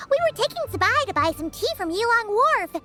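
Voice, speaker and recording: A woman voicing a small, childlike, excitable female fairy companion in a video game, chatty and exclamatory. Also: extremely high pitch, normal speed, music in the background. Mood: cheerful, chatty. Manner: exclamatory, chatty, refers to self in third person.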